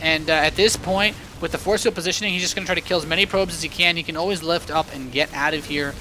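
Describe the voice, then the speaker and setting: A man giving live, animated and joking play-by-play commentary on a video game match as an esports caster. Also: a little high, fast talker, a little hiss or hum, poor audio.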